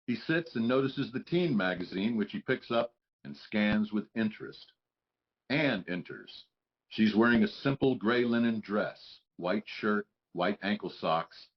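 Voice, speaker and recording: A man's voice: fairly low pitch, dull audio. A man reading stage directions as scripted narration for a live table read.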